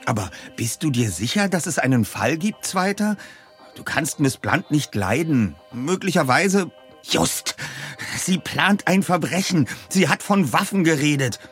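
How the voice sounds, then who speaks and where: An actor playing an earnest, eager young detective in radio play dialogue. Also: male voice, fairly high pitch, lively intonation, a little slow, fairly bright tone, soft background music. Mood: earnest, urgent.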